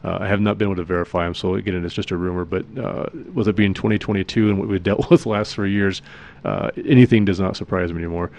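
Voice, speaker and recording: A man's voice: low voice, steady tone, fast talker, darkish tone. A man talking casually as a roofing contractor guest on a radio show, agreeable and folksy.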